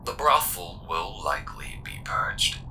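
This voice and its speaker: A man playing a grim, terse scout defending a frontier space town in an audio drama, speaking gravely and deliberately in a breathy half-whisper over a noisy comms call. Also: low-pitched, very animated, heavily acted. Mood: grim, urgent.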